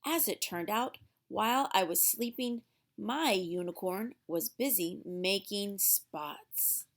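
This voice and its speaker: A woman's voice: medium pitch, slow pace, a bit bright. A woman reading a storybook aloud for young children in a theatrical way, drawing out words for emphasis.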